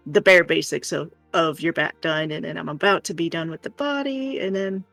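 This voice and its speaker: A woman's voice: low voice, normal speed, vocal fry, a bit bright, no room sound. A woman co-hosting a livestream, reading comments and chatting in an informal, playful way. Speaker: livestream co-host reading chat. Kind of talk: casual chat, reading comments aloud. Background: music.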